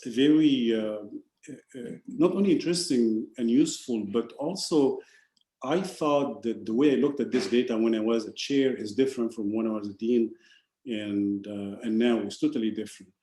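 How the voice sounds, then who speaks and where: A man, introducing himself as a provost on a panel. He talks haltingly, restarting phrases and trailing off quietly. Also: medium pitch. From Egypt. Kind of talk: webinar self-introduction. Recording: echoey room.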